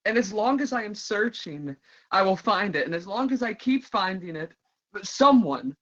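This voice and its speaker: An actor playing a brooding, yearning artist in a dramatic stage monologue, halting and searching, repeating words for emphasis. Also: male voice, sits high, animated, fairly bright tone. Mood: yearning, resolute. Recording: dull lo-fi conference call audio.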